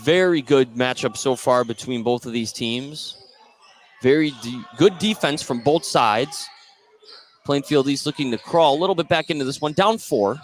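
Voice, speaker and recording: A man's voice: mid-range voice, moderate pace. A man doing live radio play-by-play commentary of a basketball game from inside a gym. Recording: clearly noisy live gym broadcast. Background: gym ambience, bouncing basketball.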